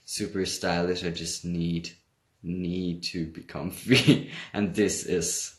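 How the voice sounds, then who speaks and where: A man's voice: deep voice, level tone, slow pace. A young man from Hamburg with a German accent, chatting casually on a livestream, reading viewer comments and laughing often. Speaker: livestream host.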